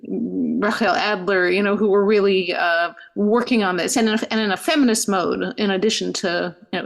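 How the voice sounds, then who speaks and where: Gravelly-voiced woman rabbi, reflective. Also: mid-range voice. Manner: thoughtful, frequent "you know" fillers and restarts. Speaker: rabbi and guest. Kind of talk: reflective interview answers.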